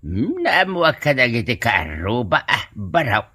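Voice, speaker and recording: An actor playing a nosy, slyly teasing older village uncle in Ilocano comedy drama, with an Ilocano accent. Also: male voice, medium pitch, very animated, unhurried, sharp tone, slightly rough, no echo, studio quality, full character voice. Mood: sly, prying.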